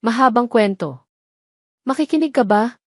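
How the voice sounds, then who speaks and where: A woman voicing a serious, protective male police officer with a firm, measured manner in an audio drama. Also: fairly high pitch, expressive, dry recording, clean studio sound.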